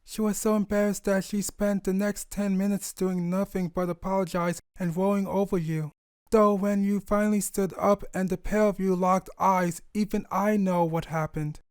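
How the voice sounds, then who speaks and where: A man's voice: high voice, steady tone, normal speed, a little mellow, smooth, dry recording, pitched above the performer's own voice, close to an everyday voice. A man voicing a kindly, reassuring female pony friend in a storybook reading, earnest and affectionate. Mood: fond, nostalgic.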